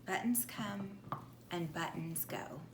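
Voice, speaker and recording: A woman reading a children's picture book aloud like a teacher, in a deliberate, expressive manner, putting questions to young listeners. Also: on the low side. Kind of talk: animated children's storybook read-aloud. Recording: echoey room with faint background noise.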